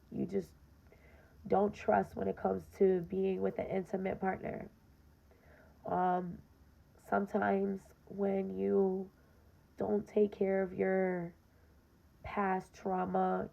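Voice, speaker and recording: A young woman with a very gravelly, raspy voice talks straight to her listeners in a casual podcast monologue. Her delivery is earnest and direct, with a fairly flat pitch. The recording sounds dull and lo-fi. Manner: earnest, direct, frequent "um" and "you know". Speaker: podcast host.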